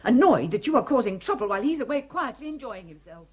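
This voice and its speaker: A woman's voice: on the high side, big pitch swings, quick pace, darkish tone, breathy edge. A prim, indignant woman. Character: indignant, proper woman defending family. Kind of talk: radio drama acting.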